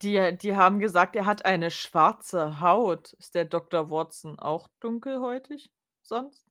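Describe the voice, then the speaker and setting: A woman's voice: medium pitch, measured pace, a bit bright. Woman co-host with short replies. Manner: short replies, adds names and details. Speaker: podcast co-host. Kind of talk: casual podcast chat.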